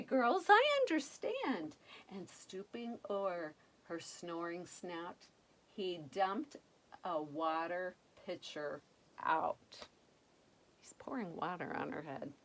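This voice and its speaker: Slow, animated woman reading a storybook. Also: medium pitch. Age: older. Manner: expressive, playful, dramatic emphasis. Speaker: grandmother reading a picture book aloud. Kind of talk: animated children's storybook reading with chatty asides.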